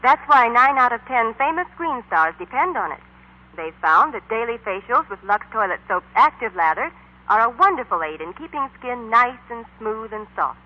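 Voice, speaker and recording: A woman's voice: on the high side, big pitch swings, normal speed. A spokeswoman delivering scripted lines in a 1940s radio commercial. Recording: dull lo-fi 1940s radio recording.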